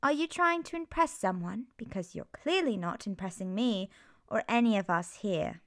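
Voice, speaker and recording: A woman's voice: medium pitch, expressive, normal speed, no room sound, clean studio sound, lightly acted. Feisty young female apprentice mage played by a woman, blunt, scolding, serious; ASMR fantasy roleplay. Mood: angry.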